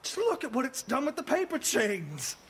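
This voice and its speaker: Man playing a jittery, husky cartoon mailman. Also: extremely high pitch, wildly swooping pitch, moderate pace, fairly bright tone, heavily acted. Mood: panicked. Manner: stammering, folksy. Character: anxious, folksy male puppet mailman, easily flustered. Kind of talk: cartoon character voice acting.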